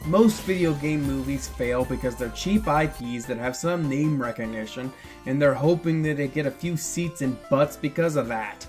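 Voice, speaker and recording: A man's voice: mid-range voice, moderate pace, darkish tone, soft background music. A man giving casual, opinionated film commentary in a blunt, sardonic way. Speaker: video essayist reviewing films.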